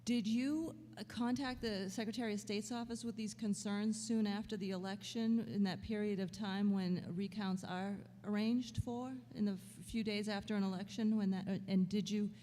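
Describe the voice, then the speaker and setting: Woman, mellow dark voice, polite halting questions, long reverb, faint room ambience. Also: medium pitch, steady tone, slight background noise. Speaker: state representative on the committee. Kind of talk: committee questioning. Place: legislative committee hearing room.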